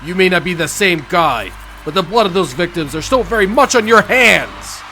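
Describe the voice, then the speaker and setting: A man's voice: high voice, expressive, moderate pace, bright, forceful tone, slight background noise, heavily acted. A man playing a vengeful, anguished tournament fighter in a visual novel, bitter and impassioned. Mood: bitter, righteous. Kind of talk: acted visual novel dialogue.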